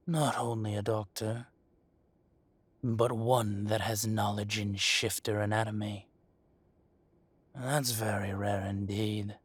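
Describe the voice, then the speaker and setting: A man performs a possessive dragon-shifter crime lord in an intimate audio roleplay. His low voice is rough and breathy, recorded very dry. He delivers condescending threats slowly and deliberately, leaving heavy pauses. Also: no room sound. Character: menacing, possessive dragon-shifter mafia boss, adult male. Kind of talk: dramatic roleplay monologue addressed to the listener.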